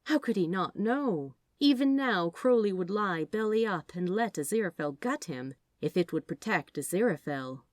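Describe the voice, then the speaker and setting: A woman's voice: mid-range voice, lively intonation, moderate pace, hardly any pauses, no room sound, clean studio sound. A woman reading fiction aloud as a narrator in a scripted storytelling style.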